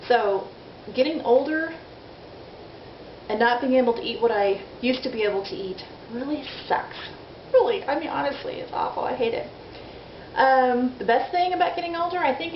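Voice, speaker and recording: A woman's voice: high voice, lively intonation, normal speed. Woman in her forties, candid vlog chatter, self-deprecating, dull lo-fi sound, faint hiss. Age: 40s. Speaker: vlogger. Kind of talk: casual video diary. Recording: dull lo-fi audio in an echoey room with faint noise.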